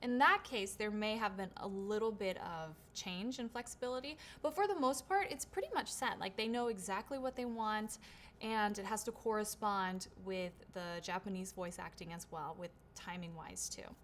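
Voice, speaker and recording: A woman's voice: mid-range voice, normal speed, a bit bright, a little hiss or hum. A woman voice actor hosting her own livestream Q&A. She reads viewer questions aloud and answers in a chatty, upbeat way, with lots of "right?" and "um". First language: English. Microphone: shotgun studio microphone.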